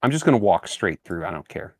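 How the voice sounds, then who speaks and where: A man playing a tabletop roleplaying game, speaking in character with formal, deliberate phrasing. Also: deep voice, big pitch swings, darkish tone, no room sound, studio quality.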